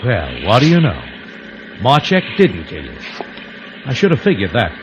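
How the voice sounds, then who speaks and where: An actor playing a smooth, threatening American gangster who taunts his captives, in old radio adventure style. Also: lowish voice, huge pitch swings, moderate pace, highs cut off. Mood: mocking, menacing. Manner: mocking, threatening. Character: menacing, smooth-talking tough gangster with a gun. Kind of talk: 1940s-50s radio adventure acting. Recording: noisy old radio recording.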